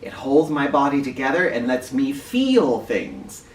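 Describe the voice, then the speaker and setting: A man hosting an educational read-aloud for children, speaking directly to them with clear emphasis and questions. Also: medium pitch, big pitch swings, measured pace. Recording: roomy, distant mic with faint noise.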